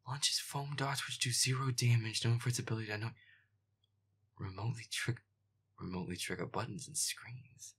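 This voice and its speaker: A man playing a feisty werewolf boyfriend who grumbles and swears sarcastically through a scary video game in a breathy, whispery voice. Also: on the low side, pushed and bright, full character voice. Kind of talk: gameplay roleplay, muttered reactions.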